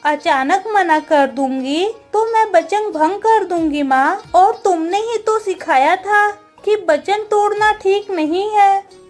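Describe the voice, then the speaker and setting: Very high-voiced, earnest little girl played by a woman. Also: slow pace, faint music behind. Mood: earnest pleading. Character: young village girl, devoted, obedient, pleading with her mother. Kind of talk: Hindi cartoon story dubbing.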